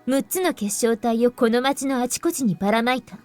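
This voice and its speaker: Woman acting a composed, high-voiced anime game heroine. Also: animated, rapid-fire, a little mellow, natural voice. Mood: serious, explaining. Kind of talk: Japanese visual novel voice acting. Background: game music.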